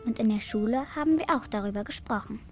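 A woman's voice: on the high side, fast, faint music behind, close to an everyday voice. A female performer playing an earnest, matter-of-fact schoolgirl granddaughter in a children's radio play. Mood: earnest, patient. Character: earnest young schoolgirl, bright and eager granddaughter.

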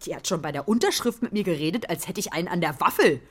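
A woman's voice: lowish voice, expressive, fast talker, slightly rough. An actress playing an irritable, defensive working mother, a hairdresser, with a snappy, sarcastic manner in a children's radio play. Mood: irritated, defensive. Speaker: voice actor in a children's radio drama. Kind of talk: naturalistic German radio play acting.

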